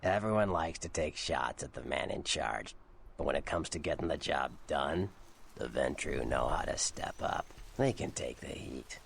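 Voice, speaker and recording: An actor voicing a cynical, streetwise vampire informant in a video game, gravelly, wry and blunt. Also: male voice, rumbling low, lively intonation, normal speed, a little hiss or hum, exaggerated voice. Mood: dry. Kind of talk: video game character dialogue.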